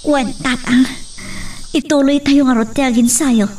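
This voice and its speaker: Lovestruck young farm girl in a radio drama, super high pitched n emotional, bit distant, noisy. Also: steady tone, unhurried, a little mellow. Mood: pleading, worried. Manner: emotional, pleading. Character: devoted, lovestruck young woman, a farm worker's daughter. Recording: off-mic, noisy track with cricket-like chirping.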